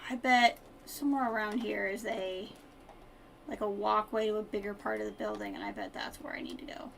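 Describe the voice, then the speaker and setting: A woman's voice: mid-range voice, expressive, a little fast, gravelly, fairly bright tone, a little hiss or hum. A woman game streamer giving casual live commentary with wry, dry asides.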